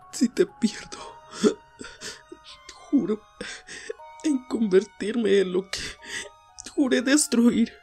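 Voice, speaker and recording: A woman playing a desperate, grieving teenage boy hero in an anime fandub drama, pleading in halting, repeated phrases. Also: mid-range voice, gravelly, warm tone, breathy edge, full character voice. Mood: sobbing, despairing. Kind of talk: Spanish fandub audio drama, emotional acting.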